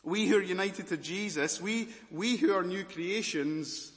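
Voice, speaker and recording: A man with a Scottish accent preaching a sermon, moving between hushed and loud emphatic passages. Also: high voice, measured pace, in a large hall. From Malawi, raised as Scottish.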